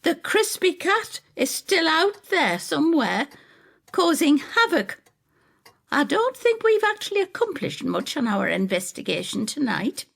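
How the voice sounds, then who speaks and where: A woman's voice: sits high, big pitch swings, measured pace, creaky, a bit bright, voice pushed up, exaggerated voice. A woman voicing an anxious, bitter, plaintive female neighbour of nearly seventy in an audio story. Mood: resigned, tart. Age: older. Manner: plaintive, demanding.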